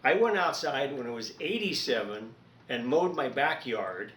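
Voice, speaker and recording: A man chatting casually in an unhurried, rambling, folksy way, as a hobbyist host of a home repair video recorded indoors. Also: mid-range voice, animated, fairly bright tone.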